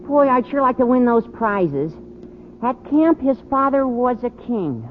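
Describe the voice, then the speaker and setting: A man's voice: very high voice, sing-song, a little slow, narrow, tinny audio, a little hiss or hum, exaggerated voice. An actor playing an eager, gawky, naively tactless teenage boy with a rambling, earnest manner in a 1940s radio comedy. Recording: thin old radio recording.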